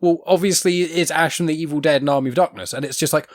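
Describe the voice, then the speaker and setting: A young man hosting a casual podcast, talking quickly and enthusiastically. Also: fairly high pitch, no room sound. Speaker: podcast host.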